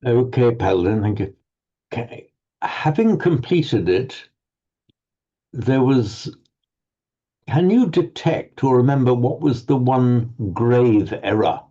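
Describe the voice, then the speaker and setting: Halting, encouraging man teaching online. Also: medium pitch, lively intonation, mellow, dull audio. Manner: halting, restarts words, encouraging. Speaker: Sanskrit teacher. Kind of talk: online group lesson.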